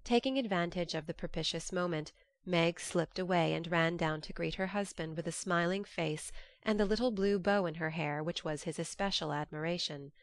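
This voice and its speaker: Low-voiced woman narrating, dry recording. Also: moderate pace, no room sound. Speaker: audiobook narrator. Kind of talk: scripted literary narration.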